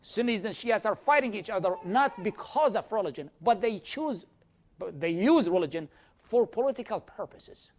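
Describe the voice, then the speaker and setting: A man from Iraq with a high voice teaches a church class. He explains step by step and answers questions from the room directly. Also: animated, moderate pace. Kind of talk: lecture with audience questions.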